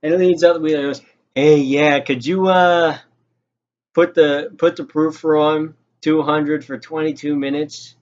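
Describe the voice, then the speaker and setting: Youngish guy telling a work story, sweary n exasperated, kinda high voice, muffled lo-fi recording. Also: fairly even intonation, a little slow, darkish tone. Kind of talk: animated personal anecdote with acted-out quotes.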